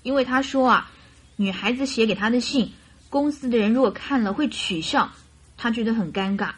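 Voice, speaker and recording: An actress playing a chatty, naive and carefree young bride in a radio drama, with girlish, animated complaining and coaxing. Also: fairly high pitch, moderate pace, slightly rough, rough recording. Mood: open, naive.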